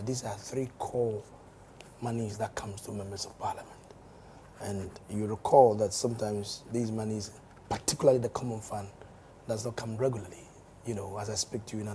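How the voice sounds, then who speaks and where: A hoarse man with a Ghanaian accent, a member of parliament speaking in a studio interview, measured and given to repeating words as he explains at length. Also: lowish voice, background noise. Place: television studio.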